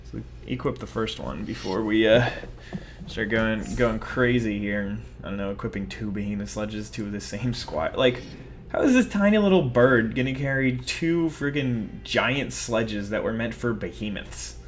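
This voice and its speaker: A man's voice: lowish voice, moderate pace, a little hiss or hum. Chatty young man narrating his card game. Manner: rambling, self-mocking, chatty. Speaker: gaming video host. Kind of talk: casual gameplay commentary.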